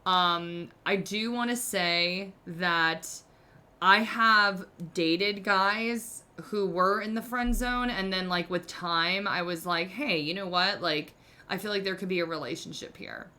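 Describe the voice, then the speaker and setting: A woman's voice: fairly low pitch, slow pace, pushed and bright. A woman in her thirties hosting a podcast, giving candid relationship advice in a casual, self-mocking way, full of "like". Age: 30s. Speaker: podcast host.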